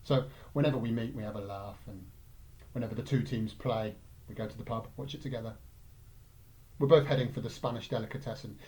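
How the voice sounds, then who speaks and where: Man, English accent, medium pitch, first-person storytelling, light-hearted reading. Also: darkish tone, low-quality recording. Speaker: story reader.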